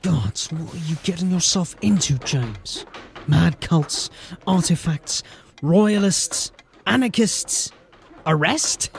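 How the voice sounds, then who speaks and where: A man playing a determined, embattled police sergeant in a dramatic audio drama, speaking earnestly and deliberately. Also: a little high, lively intonation, vocal fry, a little hiss or hum, exaggerated voice. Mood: weary muttering.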